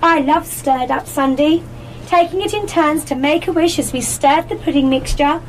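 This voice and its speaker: A woman's voice: sits high, normal speed, a little hiss or hum, close to an everyday voice, rough recording. A woman playing an amiable, nostalgic holiday companion in a live radio play. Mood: friendly.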